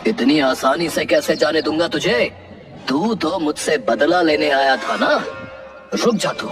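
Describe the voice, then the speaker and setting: Casting: bossy cartoon village wrestler acted by a man, highish swinging pitch, distant sound over music. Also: expressive, measured pace. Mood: menacing. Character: stern, threatening male village wrestler. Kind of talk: Hindi cartoon dialogue.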